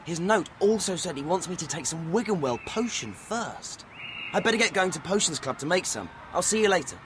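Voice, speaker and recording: Game role: earnest English teen wizard, high voice, very animated, noisy effects behind. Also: male voice, brisk. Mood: matter-of-fact. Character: earnest, determined teenage schoolboy wizard. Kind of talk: video game voice acting.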